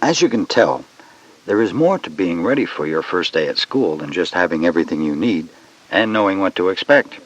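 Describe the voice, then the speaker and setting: A man reading scripted educational narration for children in a measured, instructive way, on a dull old cassette recording. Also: deep voice, expressive.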